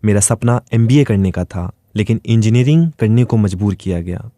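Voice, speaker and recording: A man's voice: fairly low pitch, moderate pace, dark tone, dry recording, clean studio sound. A man reading a scripted first-person dramatic story as its narrator.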